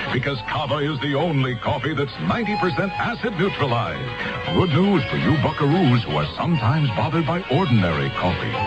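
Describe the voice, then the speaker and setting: A fairly high, very animated American man pitches a product in a folksy radio ad. Loud music runs behind the dull recording. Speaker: commercial announcer. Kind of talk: folksy scripted radio commercial.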